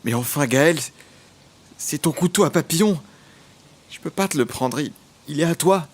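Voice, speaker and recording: An actor playing an eager, devoted young criminal apprentice in an audio drama. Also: male voice, a little high, animated, moderate pace, husky. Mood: warm. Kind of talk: audio drama acting and first-person narration. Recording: music under the voice.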